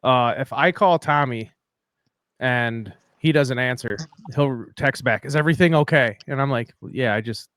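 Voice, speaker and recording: A man's voice: mid-range voice, normal speed, fairly bright tone. A male podcast host rambles and cracks jokes at his own expense in a loose, casual way. His voice sits mid-range and sounds dry, with little room echo. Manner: rambling, joking, self-deprecating.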